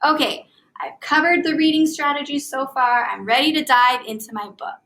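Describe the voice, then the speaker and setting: Female primary teacher, higher-pitched voice, expressive and clearly child-directed, for a classroom read-aloud lesson. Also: normal speed. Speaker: elementary reading teacher.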